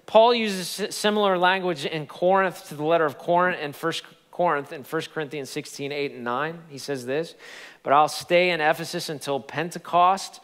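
Animated male pastor preaching in reverb. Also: on the high side, clear, resonant tone. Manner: animated, gesturing preacher with rising emphatic peaks. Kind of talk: sermon. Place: large church stage. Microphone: headset mic. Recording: long hall reverb.

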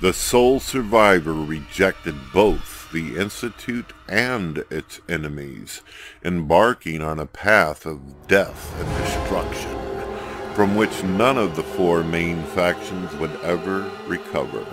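A man delivering solemn scripted story narration in a grave, dramatic, measured manner. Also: deep voice, fairly bright tone, soft background music.

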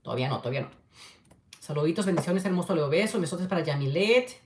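A man's voice: a little high, expressive, fast talker, slight background noise. A man, chatting affectionately on a livestream and reading viewer comments. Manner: affectionate, chatty, keeps urging likes. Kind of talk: casual livestream chat. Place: small home room with window. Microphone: webcam at desk distance. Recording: echoey room.

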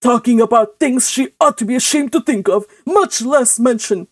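A woman voicing an indignant, scornful older uncle in an audiobook, forceful and growling. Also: a little high, moderate pace, husky, dry recording, studio quality. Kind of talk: audiobook character voice.

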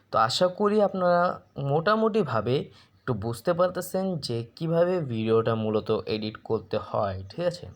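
A man hosts an informal app tutorial, explaining step by step with frequent check-in questions and English words mixed in. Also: mid-range voice. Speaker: tutorial host.

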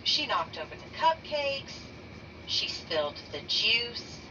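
A woman reading a children's picture book aloud slowly and deliberately, raising her pitch for the characters' lines. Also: sing-song. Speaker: read-aloud narrator. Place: bedroom, indoors. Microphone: phone camera held over the book. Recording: dull lo-fi sound from a distance with noise.

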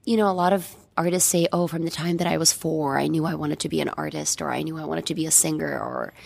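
A woman's voice: low-pitched, fairly even intonation, fast, gravelly, a little mellow, dry recording. A woman from Bosnia, a painter, talking reflectively in a casual interview and hunting for words with many fillers. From Bosnia and Herzegovina.

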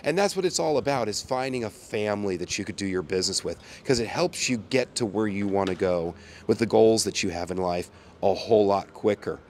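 A man in his sixties from the United States, hoarse from a cold, giving an upbeat folksy sales pitch outdoors. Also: fairly quick, a little mellow, slight background noise. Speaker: company owner. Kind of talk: promotional chat to camera. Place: outdoors by a brick wall.

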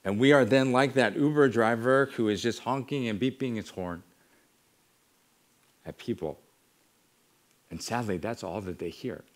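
A middle-aged American man preaching in a measured, earnest manner, building his exhortation on repeated parallel phrases. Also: mid-range voice. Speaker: pastor preaching. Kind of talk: sermon. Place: church sanctuary, at a wooden pulpit.

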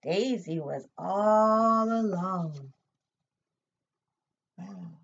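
A woman's voice: mid-range voice, extremely slow, husky, dull audio. An older woman, a preschool teacher, reading a picture book expressively to young children and asking simple questions, recorded indoors at home. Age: older, 60s or above.

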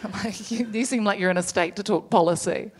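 A young woman from New Zealand, with that accent, performs stand-up in a theatre. Her voice sits at a middle pitch. She tells her story conversationally into a handheld mic, dry and self-deprecating. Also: brisk, breathy edge. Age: young adult. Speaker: stand-up comedian. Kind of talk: live stand-up comedy storytelling. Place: theatre stage, indoors, live audience. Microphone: handheld microphone.

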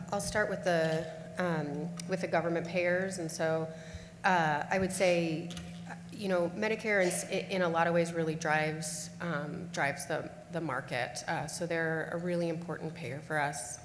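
A woman with a low voice speaks on a panel in a large conference hall. She answers in a measured way, pausing on fillers and restarting her phrases. The sound is roomy, with a long reverb and a faint hum. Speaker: panelist on payer contracting.

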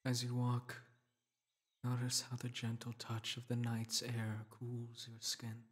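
Guy doing a solemn dream king for a sleep meditation, soft soothing voice, super slow, almost flat, gently protective. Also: medium pitch, lightly acted. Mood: soothing, gentle. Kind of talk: guided meditation, sleep-aid roleplay.

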